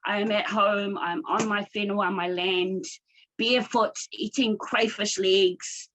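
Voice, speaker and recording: A woman from New Zealand, a guest business owner, talking in an expressive, casual way from her rural home off the grid. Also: mid-range voice, a little slow, slight background noise, rough recording.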